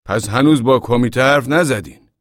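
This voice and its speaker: A man voicing a gruff, self-important older man in an audiobook story, with a sharp and surly manner. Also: mid-range voice, dry recording, studio quality, pitched above the performer's own voice, lightly acted. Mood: softened, curious. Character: gruff, proud older man, curt camp regular.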